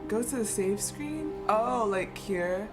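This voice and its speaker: A woman's voice: medium pitch, expressive, slow pace. A woman streamer giving live gaming commentary to her chat, exclamatory and giggly, often repeating herself as she hesitates. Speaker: game streamer. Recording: game music behind the voice.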